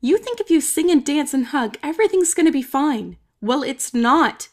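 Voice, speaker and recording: A woman's voice: high voice, expressive, normal speed, voice pushed up. A woman reading the part of a grumpy, pessimistic male troll in a storybook, blunt and scolding. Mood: scolding, sarcastic.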